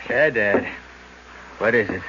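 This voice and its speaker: An actor playing a scared young man in debt, speaking slowly and haltingly in a 1970s radio drama. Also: lowish voice, noisy background. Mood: sullen. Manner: hesitant, frightened, slow. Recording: dull old radio broadcast with music.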